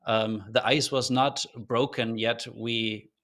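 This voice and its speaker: A man's voice: mid-range voice, steady tone, takes it slowly, fairly bright tone. A man with a faint German accent, a podcast guest telling his story in a thoughtful, earnest way with loose fillers and restarts. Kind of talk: reflective storytelling in an interview.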